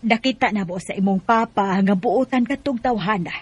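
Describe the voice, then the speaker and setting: A woman's voice: medium pitch, normal speed, highs cut off, heavy background noise, close to an everyday voice. A woman acting an older, approving mother of a grown daughter in a Bisaya radio drama, with a Cebuano accent.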